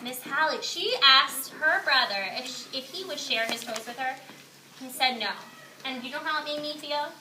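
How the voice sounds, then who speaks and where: High-pitched woman teacher reading to children, echoey. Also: normal speed. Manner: simple explanations, praising and redirecting children. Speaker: young children's teacher reading a picture book aloud. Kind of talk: expressive read-aloud with questions to children. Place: indoor classroom with young children. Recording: echoey classroom, noisy, with clicks.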